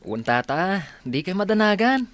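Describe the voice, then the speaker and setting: A man's voice: sits high, very animated, normal speed, fairly bright tone. A man with an Ilocano accent playing a kind, supportive young village friend in a radio drama. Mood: sympathetic.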